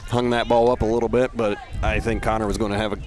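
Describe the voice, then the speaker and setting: An American man talks quickly and evenly as a baseball color commentator. His voice is on the low side, and he explains the game in a conversational way. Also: flat delivery, darkish tone, slight background noise. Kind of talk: conversational sports analysis.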